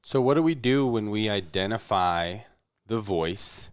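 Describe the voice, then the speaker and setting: A man's voice: fairly low pitch, animated, a little slow, smooth, dry recording, studio quality. A man co-hosting a podcast in a casual, reflective, conversational style. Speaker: podcast co-host.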